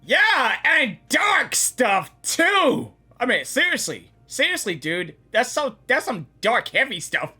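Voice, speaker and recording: A gamer giving an excited, casual live reaction, exclaiming and repeating phrases. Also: medium pitch, slow pace, hardly any pauses, husky, soft background music. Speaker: gaming reaction video maker.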